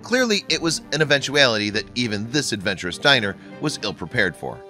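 A man narrating a scripted online video in a lively, bouncy read, recorded as a studio voice-over. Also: brisk. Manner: lively, bouncy read with wide pitch swings. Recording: faint music bed behind the voice.

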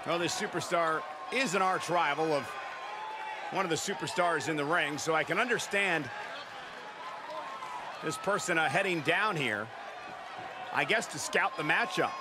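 A man voicing an excitable, dramatic play-by-play wrestling announcer in a video game. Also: a little high, normal speed, long pauses, noisy background, lightly acted. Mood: concerned. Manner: dramatic, exclamatory. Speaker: play-by-play commentator. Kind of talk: sports commentary in a video game. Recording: loud arena crowd behind commentary.

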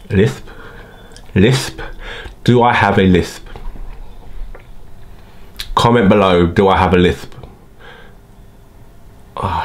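Thirties male vlogger, low-ish voice, mild aligner lisp, casual chatty delivery at his home desk camera. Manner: chatty, talks while fiddling with his teeth. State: slight lisp from new clear teeth aligners. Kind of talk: casual unboxing vlog. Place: home room by a window.

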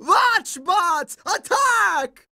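A man's voice: very high voice, very animated, very slow, gravelly, pushed and bright, no room sound, studio quality, full character voice. A man voicing a smug boy vampire leader in a cartoon video game, with formal, taunting, theatrical delivery. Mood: gloating menace.

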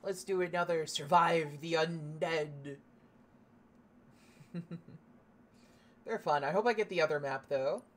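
A woman's voice: deep voice, takes it slowly, long pauses. A young woman from Canada chatting casually and swearing while streaming a shooter game, laughing now and then. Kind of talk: casual gameplay commentary.

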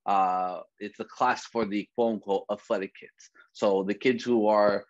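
A man in his thirties from the Bay Area, a physical education teacher presenting over slides in a relaxed way, with many fillers and restarts. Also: fairly low pitch, a little slow, no room sound. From San Francisco Bay Area. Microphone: video call. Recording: dry, dull lo-fi video call audio.

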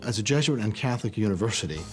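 A man's voice: on the low side, lively intonation, very fast, music in the background. An older man connected with the university speaking persuasively in a documentary interview. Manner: persuasive, questioning.

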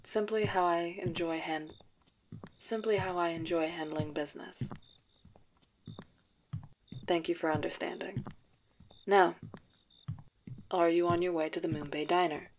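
A woman's voice: fairly low pitch, fairly even intonation, moderate pace, pauses often, lightly acted. A woman playing a formal, precise matchmaker at a dating agency in an audio drama. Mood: businesslike. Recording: dull lo-fi sound, faint hiss.

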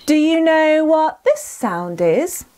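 High, animated female children's storyteller. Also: takes it slowly, slight background noise. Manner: enunciated, encouraging, asks listeners questions. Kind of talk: scripted children's storytelling and teaching.

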